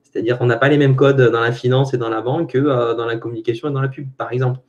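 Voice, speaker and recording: A man's voice: medium pitch, fairly even intonation, fast talker, lo-fi sound. Thoughtful man explaining with examples. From Brittany. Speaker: coach, panel guest. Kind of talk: casual panel discussion.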